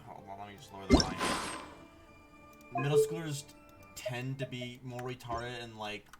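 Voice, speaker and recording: A man's voice: medium pitch, moderate pace, music in the background. A twenty-year-old man giving casual, rambling gameplay commentary as a streamer, blunt and free with swearing. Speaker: game streamer. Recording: noisy, distant mic with clicks. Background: game sounds, clicking.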